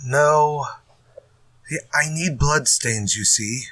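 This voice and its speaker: A man's voice: fairly high pitch, extremely slow, a bit bright, no echo, exaggerated voice. Man acting exasperated writer, dry close sound. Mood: frustrated. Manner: fretful, muttering to himself when stuck. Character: exasperated male mystery writer stuck on his story. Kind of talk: retro radio drama acting.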